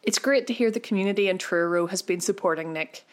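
A woman hosting a podcast, reading scripted narration in a measured way. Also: mid-range voice. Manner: measured, read-aloud delivery. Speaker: podcast host. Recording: soft music underneath.

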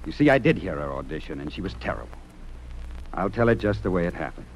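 A noisy old radio drama recording of a stern American music judge. His voice is low and swings widely in pitch as he speechifies earnestly, with remorse underneath. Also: sing-song, fast. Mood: stern lecturing. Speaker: actor.

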